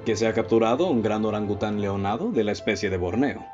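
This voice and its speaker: Radio drama detective, male actor: lowish Mexican voice, composed, analytical, formal delivery, dull lo-fi sound. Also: darkish tone, lightly acted. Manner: formal, measured, explanatory. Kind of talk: radio play acting. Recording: dull lo-fi audio with music bed.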